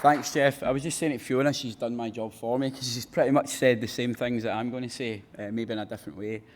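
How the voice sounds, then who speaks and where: A man's voice: mid-range voice, steady tone, fast, a faint crowd, slight background noise. A man with a Glaswegian accent giving a conference presentation, earnest and often saying "you know" as he restarts sentences. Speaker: academic speaker at a panel. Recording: long reverb of a conference hall.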